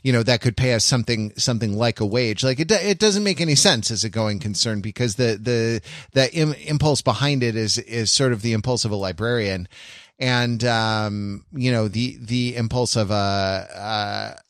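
A middle-aged American man with a medium-pitched voice reflects casually on a podcast. He is introspective and halting, often cutting himself off to rephrase, and the sound is dry with no room echo. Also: a bit bright. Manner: halting, self-interrupting, introspective. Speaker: podcast co-host.

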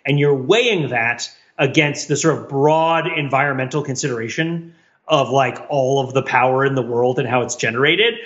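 A man's voice: medium pitch, moderate pace, highs cut off. A man co-hosting a podcast in casual discussion, talking in a rambling, wry way and often questioning his own points. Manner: rambling, self-questioning, wryly animated argument. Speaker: podcast co-host.

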